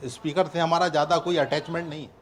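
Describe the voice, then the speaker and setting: A man giving a plain, measured statement to the press as a spokesman. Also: a little high, steady tone, a little hiss or hum. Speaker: organisation spokesman.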